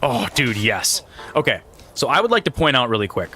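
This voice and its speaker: A man's voice: mid-range voice, sing-song, brisk, pushed and bright, faint music behind. A man in his thirties giving casual, rambling gameplay commentary in slangy, exclaiming speech. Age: 30s. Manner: rambling, slangy, often says "dude". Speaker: gaming video creator and streamer.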